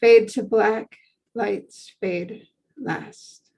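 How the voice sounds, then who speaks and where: A woman reading screenplay stage directions as scripted narration in a measured way. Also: on the high side, fairly even intonation, a little mellow, rough recording.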